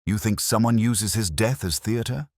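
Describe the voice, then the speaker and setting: A man's voice: fairly low pitch, moderate pace, no room sound, studio quality, pitched above the performer's own voice. A man voicing an earnest detective's companion who asks plain questions in a mystery audiobook. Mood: puzzled. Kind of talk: mystery audiobook character dialogue.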